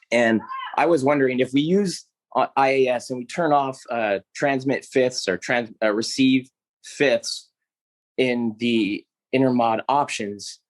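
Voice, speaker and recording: A man of average pitch speaks as a participant in an online technical session. He lays out his working setup and question, and the sound is very dry, free of room echo. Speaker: audience participant, touring audio technician. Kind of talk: asking a technical question on a video call.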